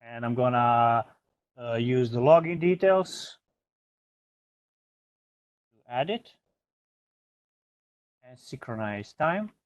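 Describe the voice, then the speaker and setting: A man's voice: mid-range voice, slow pace, long pauses, clean tone, dry recording, lo-fi sound. Man giving a hesitant, informal tech walkthrough. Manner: informal, hesitant step-by-step explaining. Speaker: product trainer. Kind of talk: software tutorial demonstration.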